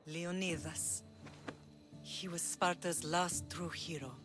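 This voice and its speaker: An actress playing a resolute Spartan mother in a video game, with a solemn, measured way of speaking. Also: lowish voice, steady tone. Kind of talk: dramatic video game acting. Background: prominent music.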